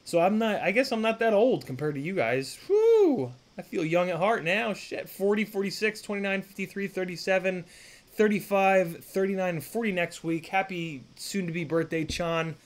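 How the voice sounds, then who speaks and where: A man's voice: high voice, expressive, moderate pace. Rambling, swearing man in his thirties. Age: 30s. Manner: rambling, profane, full of fillers and restarts. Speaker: streamer. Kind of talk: casual live-stream chat. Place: home workshop room, indoors.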